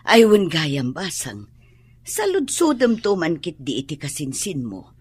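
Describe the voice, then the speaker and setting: An actress playing a practical older landlady and mother in a radio drama, with a husky voice and an Ilocano accent. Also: low-pitched, wildly swooping pitch, measured pace, darkish tone, dry recording, full character voice. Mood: matter-of-fact.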